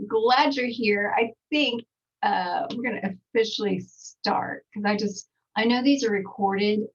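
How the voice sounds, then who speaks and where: A woman's voice: mid-range voice, vocal fry, breathy edge, distant mic, lo-fi sound. A woman in her forties with a Texas accent, presenting a casual online skincare talk in a chatty way with many fillers, from a small home studio. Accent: Texan. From Texas, United States. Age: 40s. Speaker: skincare trainer presenting a webinar.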